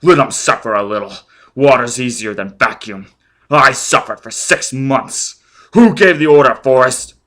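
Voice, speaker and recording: A man's voice: on the low side, unhurried, a bit bright. A man voicing a ruthless, vengeful man who barks demands and threats. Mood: shouting fury. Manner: menacing, barking demands. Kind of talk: audiobook character voice.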